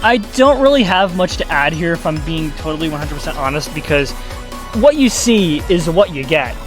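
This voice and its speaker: Animated, sarcastic commentator over faint music. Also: medium pitch, moderate pace. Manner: exasperated, sarcastic, swears freely. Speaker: YouTube video essayist. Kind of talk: casual commentary with scripted narration.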